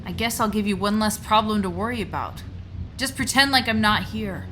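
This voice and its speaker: A woman's voice: mid-range voice, a little fast, sharp tone, slight background noise, close to an everyday voice, low-quality recording. An actress playing a determined but torn working mother and wife in a musical audio drama. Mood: defensive, hurt. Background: musical accompaniment.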